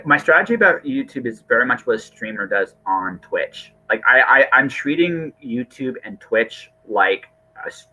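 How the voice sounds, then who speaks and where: A man's voice: on the low side, pushed and bright, husky, rough recording. A middle-aged man hosting a casual podcast conversation, earnest and rambling, often repeating his words. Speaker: podcast host.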